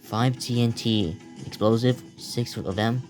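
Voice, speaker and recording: A young man narrating a mock emergency announcement in a halting way, stumbling and repeating words. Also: medium pitch, fairly even intonation, music in the background. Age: teenager or young adult.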